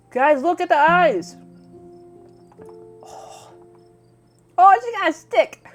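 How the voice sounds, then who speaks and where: A woman hosting a casual game playthrough, excitable and giggly, talking to viewers between lines she reads aloud. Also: very high-pitched, extremely slow, pauses often, faint music behind. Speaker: gaming video host.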